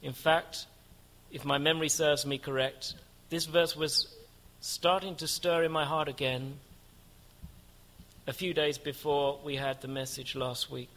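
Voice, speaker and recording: A man preaching a sermon in a church, earnest and measured, rising to fervent prayer. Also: fairly high pitch, fairly even intonation, fairly bright tone. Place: church building.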